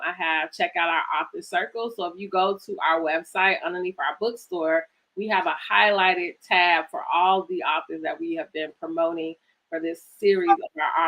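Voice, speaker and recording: A woman with a somewhat low voice hosts a live video-call interview with an author. She sounds enthusiastic and casual, laughs often, and rambles a little with "like" and "um." She comes across as a middle-aged mom chatting about books. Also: a bit bright, low-quality recording. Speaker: host of a virtual author storytime.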